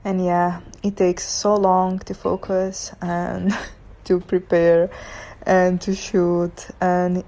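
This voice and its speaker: A woman's voice: lowish voice, level tone, slow pace, mellow. A young woman with a Serbian accent, a photographer narrating her shoot in a chatty way and laughing often. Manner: chatty, laughs often, non-native phrasing. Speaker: photographer vlogger.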